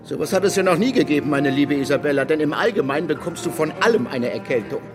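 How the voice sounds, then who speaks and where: An actor playing a sardonic young gentleman in a radio play, dry and impatient with his wife. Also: on the high side, lively intonation, fast, lightly acted. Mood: sarcastic. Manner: dry, sarcastic. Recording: music behind the voice.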